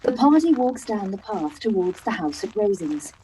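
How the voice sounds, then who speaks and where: A woman's voice: mid-range voice, big pitch swings, moderate pace, mellow, a little hiss or hum, rough recording. A woman reading the stage directions as narrator of a radio play.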